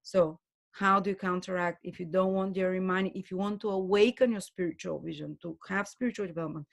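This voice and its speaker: A woman giving an earnest spoken lecture as a spiritual teacher, pausing and restarting her phrases often. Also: lowish voice, fairly even intonation, gravelly. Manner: earnest, with frequent restarts and hesitations.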